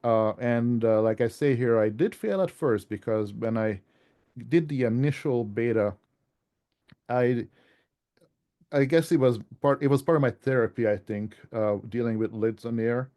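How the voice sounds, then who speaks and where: A man's voice: medium pitch, normal speed, mellow. A man giving an informal slide presentation as an author, speaking in a conversational, self-deprecating way.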